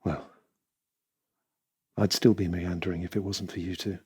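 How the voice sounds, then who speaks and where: An actor playing a hesitant, regretful man in an audio drama, with a husky voice and halting, emotional delivery. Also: low voice, flat delivery, mellow, a little hiss or hum, lightly acted. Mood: wistful, regretful. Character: hesitant, regretful man torn between two women.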